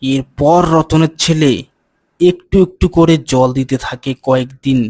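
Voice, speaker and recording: Higher-pitched man narrating a cartoon story. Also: steady tone, unhurried, a little mellow.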